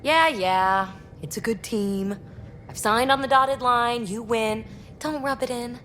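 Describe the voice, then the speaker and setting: A woman's voice: a little high, slow, fairly bright tone, a little hiss or hum. A woman playing a flippant, teasing crewmate in video game dialogue, casual and wry.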